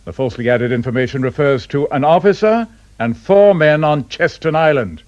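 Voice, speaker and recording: Actor as a clipped intelligence chief, higher-pitched voice. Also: male voice, lively intonation, moderate pace, no room sound, natural voice. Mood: intense, rueful. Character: commanding senior intelligence chief, brisk, grave, self-reproachful. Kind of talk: radio drama acting. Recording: dull radio recording with loud noise.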